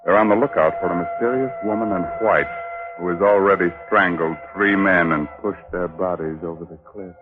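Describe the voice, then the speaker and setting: An actor playing an ominous, sardonic narrator telling a 1940s radio mystery slowly and deliberately. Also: male voice, low voice, close to an everyday voice. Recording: narrow old radio, music behind.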